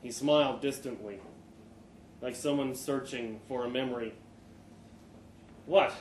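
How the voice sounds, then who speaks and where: A man giving an expressive live reading of a first-person short story, with dramatic pauses and the odd stumble. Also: mid-range voice. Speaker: story reader. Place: live storytelling event in a pub room. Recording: echoey live venue, faint background noise.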